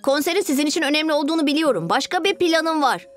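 Woman voicing an earnest, very high-pitched young boy hero. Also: expressive, rapid-fire, faint music behind. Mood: determined. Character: young boy cat superhero, earnest and eager. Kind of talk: dubbed children's cartoon acting.

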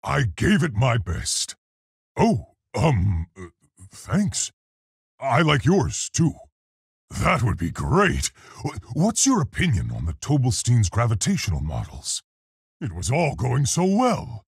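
A large, brave gorilla scientist in a video game. The voice is very husky and gruff, with a gravelly edge. He speaks in earnest, articulate, unhurried phrases, polite yet heroic. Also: medium pitch, wildly swooping pitch, dry recording, studio quality, exaggerated voice. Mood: calm, awkward. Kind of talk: video game character voice lines.

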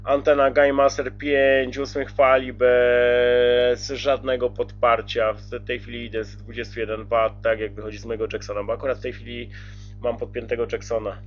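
A man's voice: mid-range voice, little pitch movement, normal speed, soft background music. A man chatting courteously and casually as a radio operator, using radio jargon. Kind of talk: casual radio contact chat. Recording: dull lo-fi CB radio audio.

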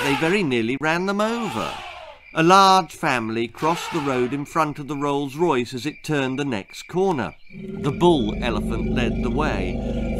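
Animated British storyteller, man in his sixties. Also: medium pitch, heavy background noise. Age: 60s. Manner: theatrical, arch. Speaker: author reading his own story. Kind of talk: dramatic children's storytelling. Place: small book-lined room indoors.

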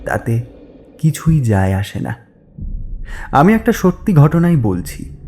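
A man narrating a scripted horror story deliberately, pausing between short phrases. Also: on the high side, warm tone, slight background noise.

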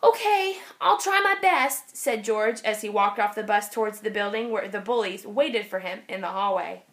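A woman teacher reading a children's storybook aloud, expressively and with clear phrasing for young listeners. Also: mid-range voice, little pitch movement, normal speed, a bit bright. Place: indoors, over an open picture book.

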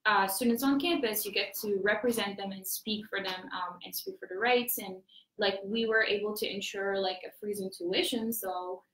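A woman's voice: medium pitch, moderate pace, fairly bright tone. A young woman from Morocco, a student ambassador, chatting casually with lots of fillers on a panel. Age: young adult. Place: meeting room with branded backdrop. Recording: echoey conference room.

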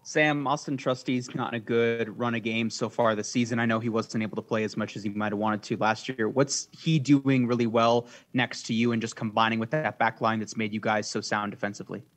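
A man's voice: lowish voice, steady tone, fairly quick, few pauses. A man working as a sports reporter, putting questions at a press conference.

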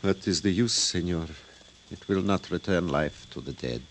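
An actor playing a grief-stricken, courteous Cuban widower in an old radio drama, speaking halting, formal English with a Spanish accent. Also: male voice, low-pitched, lightly acted. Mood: grieving, shocked. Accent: Spanish-accented English, Cuban character. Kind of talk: 1950s radio drama acting. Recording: noisy old radio broadcast.

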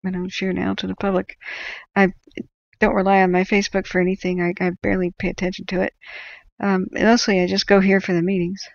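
American lady reading updates on a stream, chatty, bit of fry in her voice, dull dry mic. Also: mid-range voice, completely flat delivery, fairly quick, no echo. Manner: chatty, self-correcting, interjects small reactions. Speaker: livestream host tracking wildfires. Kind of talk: informal livestream reading of official updates with side comments.

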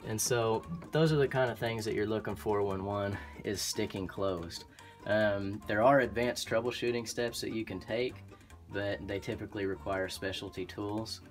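A man with an Oklahoma accent, an HVAC technician explaining furnace repair in a relaxed, practical way, with frequent ums and restarts. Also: lowish voice, normal speed, faint music behind. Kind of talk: instructional how-to explanation. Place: indoor utility closet by a furnace.

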